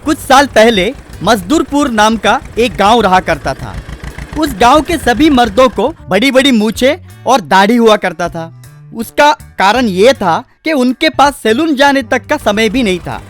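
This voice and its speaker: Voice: medium pitch, expressive, a little slow. Storyteller narrating over music. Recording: music under the voice. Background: background music.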